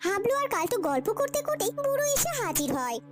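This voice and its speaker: A woman's voice: extremely high pitch, big pitch swings, fast talker, music in the background. A woman narrator telling a cartoon story in a dramatic, scripted storytelling style.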